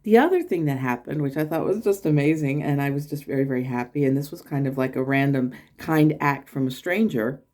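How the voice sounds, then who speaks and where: A woman's voice: a little fast, hardly any pauses, a little mellow. An American woman telling a casual travel story in her vlog, rambling with fillers and restarts. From United States. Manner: acts out quoted people in a higher voice. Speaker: channel host.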